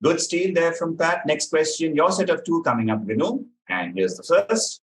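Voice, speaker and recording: A man presents a quiz as its question reader. He speaks in a measured, articulate voice, setting out clues and explanations for the contestants. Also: on the high side, animated. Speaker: quiz master.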